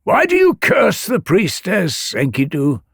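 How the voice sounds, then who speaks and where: A man's voice: high-pitched, huge pitch swings, measured pace, vocal fry, a bit bright, slightly rough, no echo, studio quality, pitched above the performer's own voice, heavily acted. A man voicing a noble sun god, earnest and persuasive, reasoning with a mortal in a myth story. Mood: gentle reproach.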